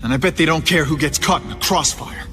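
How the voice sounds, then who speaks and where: A man's voice: a little high, quick pace, pushed and bright, long reverb tail, soft background music. A man voicing a cocky but earnest young superhero in a video game, with a teasing, wisecracking delivery. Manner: quippy, teasing. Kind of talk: video game cutscene acting.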